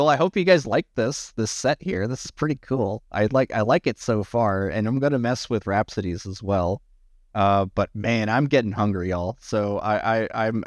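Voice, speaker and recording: Man, low voice, slightly hoarse, rambling livestream chat, dry close sound. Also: no echo. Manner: rambling with many restarts and fillers. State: slightly hoarse after three hours. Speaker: livestreamer and AI art tutorial maker. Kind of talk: casual livestream chat to viewers.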